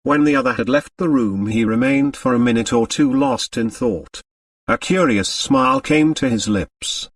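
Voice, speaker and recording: A man's voice: mid-range voice, moderate pace, no echo, clean studio sound, far from the mic. A man reading a novel aloud as scripted audiobook narration.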